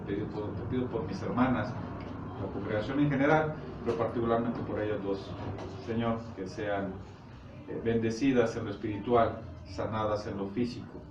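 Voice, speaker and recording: A Mexican man gives an informal sermon, recorded from far off in an echoey room. He explains scripture conversationally and haltingly, often checking in with short questions, over clear background noise. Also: fairly low pitch, highs cut off. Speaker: preacher. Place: echoey indoor congregation room. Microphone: distant microphone.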